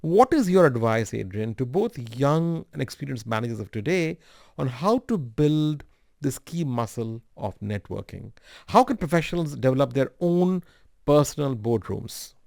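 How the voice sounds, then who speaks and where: A man hosting a podcast, putting a prepared question to his guest in a measured, formal way. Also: medium pitch, animated, vocal fry, a little mellow, dry recording, clean studio sound. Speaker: podcast host. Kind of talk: reading prepared interview questions.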